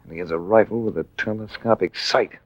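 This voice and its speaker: A man's voice: lowish voice, quick pace, no echo, highs cut off, lightly acted. A man playing a cool, wry American adventurer-investigator in 1940s radio drama style, speaking in a clipped, dry, hardboiled way. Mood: urgent, wry. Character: cool, wry American male adventurer-investigator, narrating his own case. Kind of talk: 1940s radio drama acting with first-person narration.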